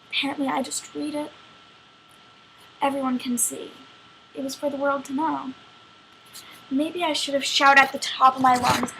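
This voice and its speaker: A woman's voice: high-pitched, noisy background. A woman playing a naive teenage girl from a fairy tale in a confiding, wry, wounded dramatic monologue. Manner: conversational, rhetorical questions, wry.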